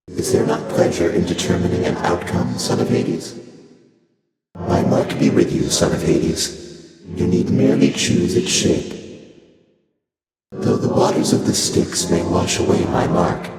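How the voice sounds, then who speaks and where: An actor playing an ancient, otherworldly primordial deity in a video game, speaking formally and aloofly with dry amusement through a heavy reverb effect. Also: male voice, low voice, exaggerated voice. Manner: formal, archaic, deliberate, aloof phrasing. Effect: heavy otherworldly echo reverb. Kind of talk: video game character voice lines. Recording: cavernous long reverb, loud music behind.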